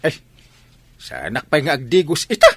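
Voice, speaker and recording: A man playing a sheepish village captain in a comic radio drama. His voice is husky and swings wildly up and down, stammering and evasive, recorded close and dry. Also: fairly high pitch, huge pitch swings, normal speed, dry recording, full character voice. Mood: whiny, evasive. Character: village captain, reluctant bather, sheepish henpecked husband. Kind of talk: Ilocano comedy radio drama acting.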